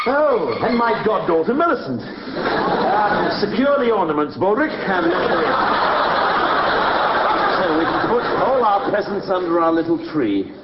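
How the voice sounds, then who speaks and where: An actor playing a kind, overly generous Victorian shopkeeper who is politely effusive. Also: male voice, high-pitched, lo-fi sound, heavy background noise.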